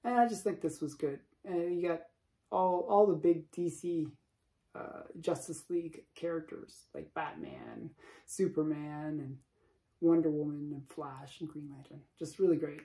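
A man's voice: on the high side, lively intonation, unhurried, creaky, dark tone. A man in his late forties hosting his own channel, chatting casually to camera from his home comic room with small laughs between points. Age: 40s. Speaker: comic collector channel host. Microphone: camera in front.

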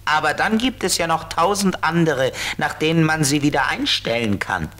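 A man's voice: a little high, expressive, pushed and bright. A man playing a friendly, knowing flying companion of a little girl in a 1970s German children's radio play. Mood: matter-of-fact. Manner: measured, explanatory.

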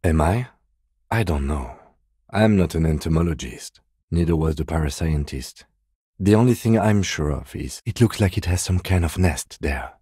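A middle-aged police detective in a video game, with an extremely low male voice. He is composed and precise, choosing his words with restraint. The sound is dry and close. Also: animated, moderate pace, warm tone, breathy edge, dry recording, full character voice. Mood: sober, focused. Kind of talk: video game voice acting, understated dialogue.

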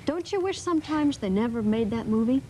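Bright-voiced chatty TV host woman. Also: fairly high pitch, expressive, a little fast, a little hiss or hum. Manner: chatty, curious, teasing questions. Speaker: morning show host. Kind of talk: studio interview. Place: TV studio set, seated on a couch.

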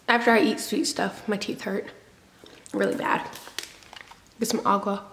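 A woman's voice: mid-range voice, a bit bright, a little hiss or hum. A young woman casually vlogging at home, chatty and playful, muttering asides to herself into a camera held close. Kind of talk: casual vlog. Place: home kitchen and living room. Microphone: handheld camera held close.

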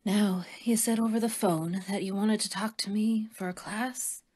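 A woman playing a kindly grandmotherly old woman with a husky voice in an audio play. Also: mid-range voice, steady tone, normal speed, darkish tone, lightly acted. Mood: gentle, chatty.

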